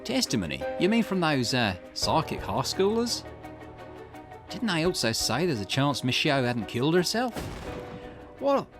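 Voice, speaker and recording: Man reading game lines over music. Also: a little slow. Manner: switches between character lines with lively pitch swings. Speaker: gaming channel host. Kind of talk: reading game dialogue aloud in a let's play. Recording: game music playing underneath.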